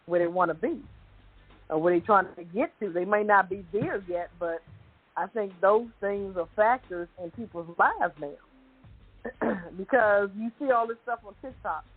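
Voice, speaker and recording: A woman's voice: mid-range voice, husky. A woman panelist giving opinions in casual, loosely phrased talk show chat. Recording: muffled, band-limited audio.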